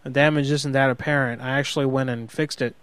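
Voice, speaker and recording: A man's voice: mid-range voice, fairly even intonation, a bit bright, clear, resonant tone, dry recording, natural voice. A man playing a hot-headed, sheepish husband in an improvised prank phone call, rambling and justifying himself as he goes. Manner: halting, rambling, self-justifying.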